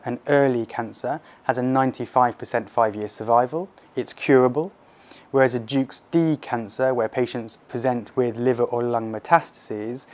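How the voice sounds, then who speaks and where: A British man in his thirties with a mid-pitched voice speaks in a measured, clinical way. He explains like a consultant surgeon being interviewed for fellow doctors, recorded on a close mic indoors. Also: darkish tone.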